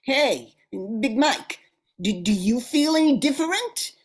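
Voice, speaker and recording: A woman's voice: high voice, animated, slow, slightly rough, full character voice. A woman playing a menacing, commanding female crime boss in an old-time radio thriller, tough and theatrical. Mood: suspicious, alarmed.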